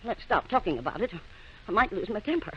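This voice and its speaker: A proud, defensive small-town wife speaks with dramatic scorn. Her pitch swings widely in old radio play fashion. The sound is muffled and dated. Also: very animated, fast, slightly rough. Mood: curt.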